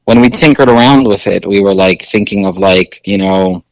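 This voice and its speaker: A male singer with a low speaking voice, chatting casually in an interview with many fillers and restarts. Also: moderate pace, dry recording, low-quality recording.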